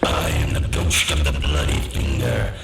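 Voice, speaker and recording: A man voicing a ghost that moans slowly as it creeps closer, with crickets and outdoor noise behind. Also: fairly even intonation, gravelly, roomy sound, recorded from a distance, full character voice. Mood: moaning. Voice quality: low moaning, hollow.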